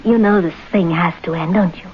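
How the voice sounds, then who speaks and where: Actress as seductive mistress on dull vintage radio. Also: female voice, on the low side, brisk, lightly acted. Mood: pressing, plaintive. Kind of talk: old-time radio drama acting. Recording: dull old radio recording, faint noise.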